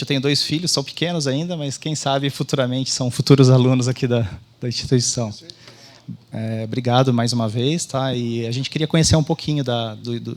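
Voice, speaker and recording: A Brazilian man hosts the opening of a conference. He speaks informally to those gathered, welcoming them as one of the organizers, in a mid-pitched voice.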